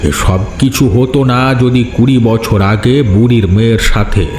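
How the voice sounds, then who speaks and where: A man voicing a stern, all-knowing village holy man in a fairy tale cartoon, solemn and commanding in a measured way. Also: mid-range voice, steady tone, lightly acted. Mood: stern, ominous. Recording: echoey voice track with music.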